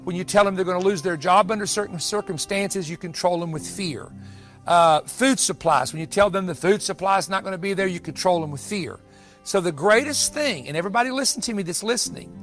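High-pitched man preaching with emphasis. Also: a bit bright. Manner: emphatic, repetitive, exhorting delivery. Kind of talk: evangelical sermon on prophecy. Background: background music.

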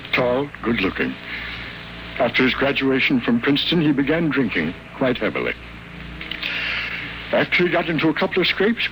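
A man's voice: fairly low pitch, fairly bright tone. An actor playing a wealthy, suspicious older businessman and father, formal and demanding, in old-time radio drama style. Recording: faintly noisy old radio recording.

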